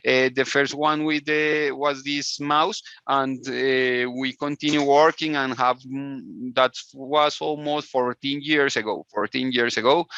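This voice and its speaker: A man from Colombia speaking English with a Spanish accent, a guest inventor on a video call interview, halting with many restarts and frequent laughs. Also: mid-range voice, pushed and bright, clean tone, no echo. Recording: dull lo-fi call audio.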